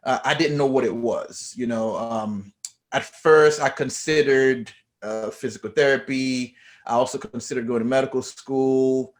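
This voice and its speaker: A man from Haiti, a chiropractor guest, telling his story in a relaxed way with many fillers. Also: mid-range voice, measured pace. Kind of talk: casual interview.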